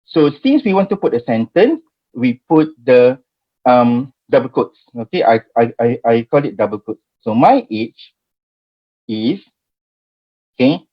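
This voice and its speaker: A man's voice: medium pitch, very animated, a little slow, long pauses, highs cut off. A man in his forties with a Malaysian accent, teaching a spreadsheet class in a chatty way that keeps checking in with "okay?" and "right?". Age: 40s. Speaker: Excel trainer. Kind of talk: live screen-share spreadsheet tutorial.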